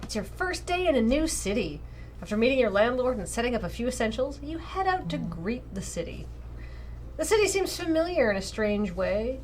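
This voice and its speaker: A woman's voice: on the high side, very animated, moderate pace, a little mellow, slight background noise, rough recording. A woman co-hosting a game stream, chatting casually and reading on-screen text aloud. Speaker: streamer and co-host.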